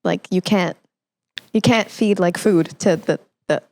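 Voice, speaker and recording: A woman's voice: fairly low pitch, measured pace, vocal fry, darkish tone, no room sound. A woman hosting a casual podcast interview, chatting in a playful, teasing way. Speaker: podcast host.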